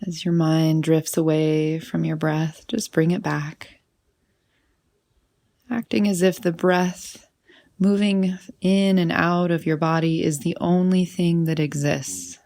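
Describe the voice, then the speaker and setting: A woman leading a guided meditation in a soothing, unhurried manner, often trailing off into breathy softness. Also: deep voice, level tone.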